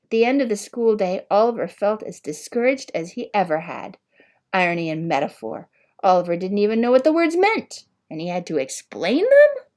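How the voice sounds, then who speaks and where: A woman's voice: medium pitch, big pitch swings, moderate pace, darkish tone. A woman narrating a storybook expressively as an audiobook reader.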